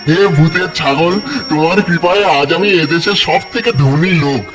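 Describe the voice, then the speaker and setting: A man's voice: on the high side, moderate pace, natural voice. A man playing a plucky, good-hearted village weaver in a cartoon folktale, with theatrical, declamatory delivery. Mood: reverent, grateful. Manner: theatrical, declamatory, calling out commands. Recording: clear music under the voice.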